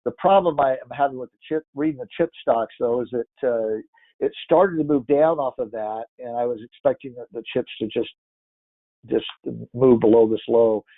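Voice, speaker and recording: A man's voice: medium pitch, normal speed, no echo. Congested man talking charts on a tinny line. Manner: rambling, thinks aloud with frequent restarts. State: congested, stuffy-nosed. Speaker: market analyst. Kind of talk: casual chart-by-chart market commentary. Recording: tinny phone-like dry audio.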